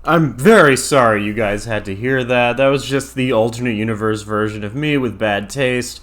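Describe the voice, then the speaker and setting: A man's voice: mid-range voice, normal speed, heavy background noise. A man hosting a countdown, giving casual, opinionated scripted narration with crude, profane humor. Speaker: host of an entertainment countdown video.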